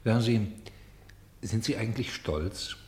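A man's voice: fairly low pitch, unhurried, darkish tone, reverberant, lightly acted. A man playing a persistent, accusing interrogator in a German radio play, like a voice of conscience, with probing, sardonic, pointed delivery. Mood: insistent.

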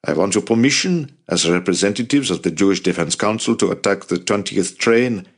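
A man narrating an audiobook plays a determined resistance fighter with a low voice. He is earnest and blunt, pressing his case with passion and persuasion. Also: brisk, slightly rough. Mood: resolute.

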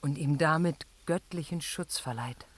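An actress playing a god's devoted, defiant daughter in a fantasy radio drama, earnest and pleading in manner. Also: female voice, low-pitched, measured pace. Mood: concerned. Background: dramatic music.

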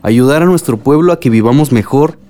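A man's voice: mid-range voice, fairly quick, warm tone, close to an everyday voice. An actor with a Mexican accent playing a stubborn, defensive young man in a radio drama. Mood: insistent.

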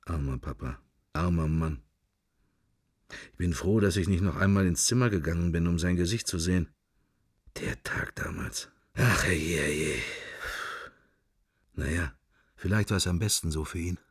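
Deep, dry-sounding introspective man. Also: unhurried, long pauses, slightly rough, dry recording. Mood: melancholy. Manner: murmured, fragmentary, introspective. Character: musing middle-aged man's wandering inner thoughts, wry, melancholy. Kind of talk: radio drama interior monologue.

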